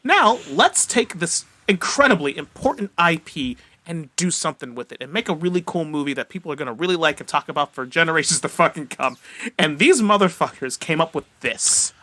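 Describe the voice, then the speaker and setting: A man's voice: on the high side, expressive, fairly quick, pushed and bright, no echo. A man co-hosting a podcast in casual, emphatic, profanity-laden group chat. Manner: emphatic, swearing freely. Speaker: podcast co-host.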